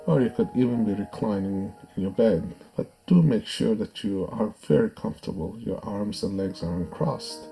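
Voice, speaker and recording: Low, mellow male meditation guide. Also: gravelly, low-quality recording. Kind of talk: guided meditation, hypnosis narration. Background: background music.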